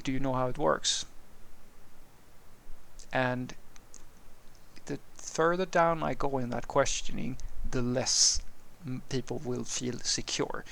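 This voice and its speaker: A man from Sweden, a native Swedish speaker, talks through a programming question off the cuff. His voice has a gravelly, creaky edge and sits at an ordinary middle pitch. He rambles, restarts his sentences and piles up fillers as he thinks aloud. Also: a bit bright. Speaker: software developer answering a viewer question. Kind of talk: casual unscripted monologue.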